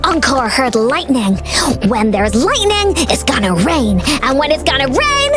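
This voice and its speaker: A woman voicing a tiny, excitable, innocent little girl hero in a video game, childlike and bubbly. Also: high-pitched, a little slow, music in the background. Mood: anxious. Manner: childlike, bubbly, refers to herself by name.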